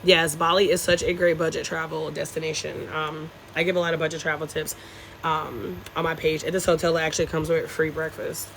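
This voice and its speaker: A woman's voice: sits low, level tone, a little fast, vocal fry, a bit bright, a little hiss or hum, poor audio. Chatty Southern woman on a live stream. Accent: Southern American. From North Carolina, USA. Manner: chatty, greets viewers, Southern slang. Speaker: travel vlogger live-streaming. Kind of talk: casual live-stream chat. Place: outdoor hotel patio.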